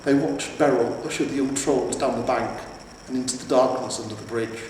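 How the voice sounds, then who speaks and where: A man's voice: medium pitch, steady tone, brisk, darkish tone. Echoey male storybook narrator. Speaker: audiobook reader. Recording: echoey reverberant room, distant mic, faint noise.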